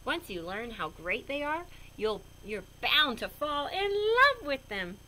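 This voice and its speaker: A woman reading a children's picture book aloud in a goofy, expressive way with chatty asides. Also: sits high, unhurried, a little hiss or hum, low-quality recording. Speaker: storybook reader.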